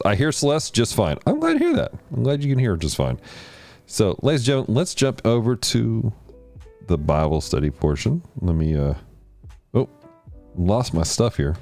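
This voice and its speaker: A man hosting a livestream of video games and Bible study, chatting casually with viewers and teaching in an animated way. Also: moderate pace, pauses often, faint music behind. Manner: animated, jumps in pitch when greeting chat. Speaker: host of a gaming and Bible study stream.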